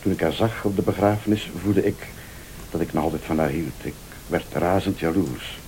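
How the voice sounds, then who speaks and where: An actor playing a vengeful rival in a radio thriller, a calm, sardonic accuser who speaks Flemish with deliberate, probing politeness. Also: male voice, deep voice, slight background noise, close to an everyday voice. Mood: wounded, bitter. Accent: Flemish Belgian. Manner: deliberate, insinuating, formally polite.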